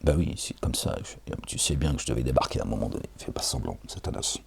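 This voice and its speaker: A man's voice: rumbling low, fast, vocal fry, a little hiss or hum. A tired man reading scripture aloud and commenting on it in a casual, digressive way, talking straight to his listeners with chuckles. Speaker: self-styled preacher reading and commenting on scripture.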